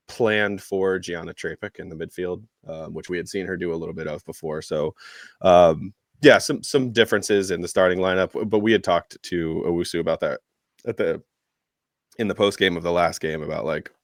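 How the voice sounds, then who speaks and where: Male podcast co-host, low register, dry close sound, casual rambling sports recap with a halting conversational flow. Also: fairly even intonation, no room sound.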